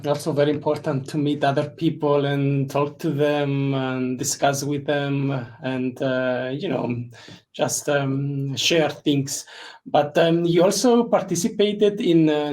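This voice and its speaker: A male interviewer asks his guest questions in a calm, measured manner. He pauses on small fillers between phrases. His voice sits a bit higher than most men's.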